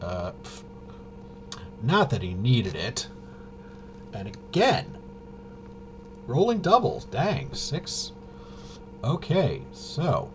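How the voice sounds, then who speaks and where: A man thinking aloud through solo tabletop game commentary, casual and halting, often muttering to himself as he works things out. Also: mid-range voice, huge pitch swings, a little hiss or hum. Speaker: tabletop RPG player and presenter.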